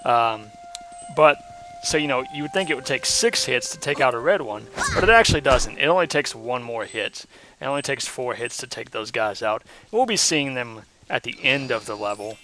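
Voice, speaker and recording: A hoarse young man giving casual, rambling commentary while playing a game, with lots of ums and uhs. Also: mid-range voice, animated, moderate pace, sharp tone, rough recording. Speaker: let's play host.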